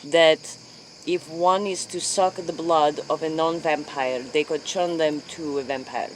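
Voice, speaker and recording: A woman playing a solemn late-night interviewer, speaking formally and haltingly, often repeating herself. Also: low-pitched, steady tone, slight background noise, poor audio. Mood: earnest. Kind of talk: improvised spoof interview in character.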